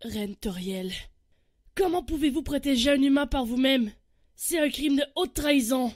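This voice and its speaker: A woman's voice: high-pitched, normal speed, a little mellow, no echo, studio quality, lightly acted. A woman voicing a fierce, proud, competitive monster warrior in a comic dub, with a brash manner. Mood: furious.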